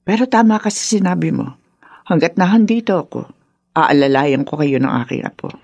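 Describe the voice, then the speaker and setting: A woman playing a caring, patient older mother and grandmother with a husky voice in a Filipino radio drama. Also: low voice, expressive, fairly quick, darkish tone, no echo, highs cut off, full character voice. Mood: frank, resigned. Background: background music.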